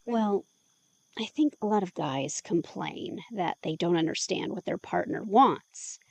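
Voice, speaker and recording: An actress playing a curious, teasing young woman with a husky, gravelly voice in an ASMR audio drama, speaking close to the mic. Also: lowish voice, animated, measured pace, a little mellow, no echo, full character voice. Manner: teasing, playful, drawling.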